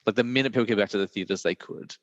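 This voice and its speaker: A man, hosting a casual film chat in a deep, croaky voice while he recovers from illness, rambling with many fillers. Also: rapid-fire, no echo, in a large hall, muffled recording. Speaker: podcast host.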